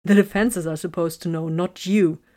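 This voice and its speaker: A woman's voice: on the low side, steady tone, moderate pace, darkish tone, close to an everyday voice. Smug amused female commander, sweet sarcasm; a woman narrator voices her.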